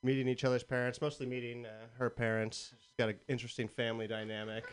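A man who is a talk show guest speaks in a flat, even tone with a smooth, resonant voice. He talks in a relaxed way, pausing on ums. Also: medium pitch. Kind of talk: casual chat.

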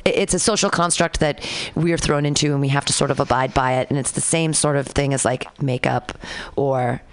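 A young woman hosting a casual talk show, telling stories with big jumps in pitch for quoted voices. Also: fairly even intonation, brisk. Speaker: podcast host. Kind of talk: casual chat.

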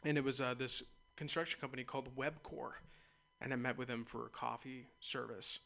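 Male radio host, mid pitch, bright tone, loose rambling chat. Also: fairly even intonation, fairly quick, vocal fry. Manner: loose, rambling, laughs easily. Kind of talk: casual interview chat.

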